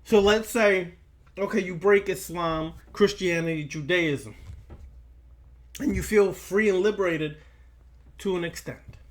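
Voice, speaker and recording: A man giving an esoteric lecture like a preacher, speaking in deliberate, halting phrases with long pauses and repeating key lines for emphasis. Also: sits high. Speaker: occult lecturer.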